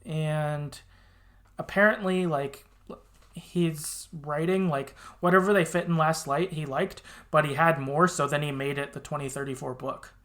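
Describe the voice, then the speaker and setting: A man's voice: a little high, steady tone, measured pace, fairly bright tone. A man hosting a podcast in a casual, rambling and agreeable chat style with some hesitation. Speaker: podcast host.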